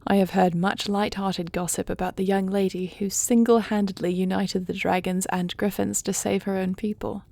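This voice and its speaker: Woman voicing regal dragon queen, audio roleplay, tender, earnest, formal measured diction, gravelly fry, dry sound. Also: medium pitch, fairly even intonation, warm tone, no room sound. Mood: reassuring, stately. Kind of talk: scripted audio roleplay drama.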